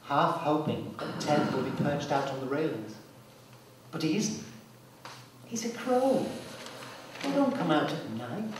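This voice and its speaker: A man's voice: fairly high pitch, animated, unhurried, long pauses. A man narrating a scripted dramatic story live in a theatre. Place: theatre, live performance recorded from a distance. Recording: very echoey hall, distant, loud background noise.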